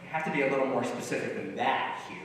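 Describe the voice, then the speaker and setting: A man's voice: medium pitch, fast, fairly bright tone, lightly acted. A man playing an ailing, grouchy comedy writer of thirty-nine on stage, wisecracking and theatrical, swinging between mutters and outbursts. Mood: excited. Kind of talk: live one-act stage comedy acting. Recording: echoey hall, distant mic, faint noise.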